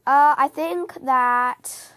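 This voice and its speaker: A woman hosting a casual book-fan podcast, chatting in a rambling, animated, giggly way, her voice scratchy from a cold. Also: high-pitched, drawn-out words, no room sound, studio quality. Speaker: podcast host.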